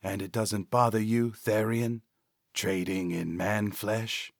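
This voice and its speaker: A man voicing a sinister, courtly old jackal-headed trader in an audiobook, throaty, quiet and formal. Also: on the low side, steady tone, slow, creaky, dry recording, studio quality. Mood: probing.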